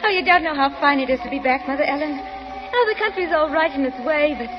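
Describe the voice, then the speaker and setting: An actress playing a cheerful, affectionate young stepdaughter in a 1940s radio drama, with an effusive manner. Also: female voice, high voice, brisk, close to an everyday voice. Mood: gushing. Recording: noisy, dull, reverberant old radio recording.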